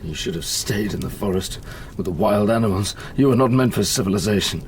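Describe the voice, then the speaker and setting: A man's voice: on the low side, fairly quick, a little mellow, a little hiss or hum, lightly acted. A man playing an anguished, accusing young scientist in an audio drama. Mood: accusing, defensive.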